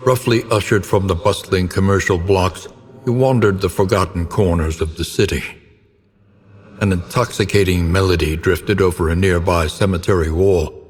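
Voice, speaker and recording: A man playing a grave, ominous older narrator of gothic horror tales in a video game, with solemn, theatrical and deliberate storytelling. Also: low-pitched, long reverb tail. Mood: brooding, mysterious.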